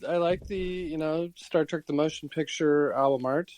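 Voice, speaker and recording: A man's voice: fairly high pitch, fairly even intonation, moderate pace, darkish tone, no echo, poor audio. Easygoing man co-hosting, in a dry sound. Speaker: podcast co-host. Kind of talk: casual conversational commentary.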